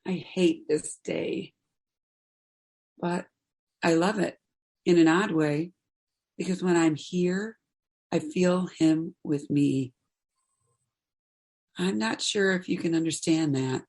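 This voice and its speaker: Kathy, slow-spoken tender mother played by an actress. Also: lowish voice, a little mellow. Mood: tender grief. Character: Kathy, gentle consoling mother grieving her adult son. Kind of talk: stage play reading.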